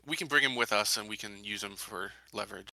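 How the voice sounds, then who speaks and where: A man's voice: on the low side, fast talker, bright, forceful tone, no echo, poor audio. A man talking casually as a player in a tabletop roleplaying game.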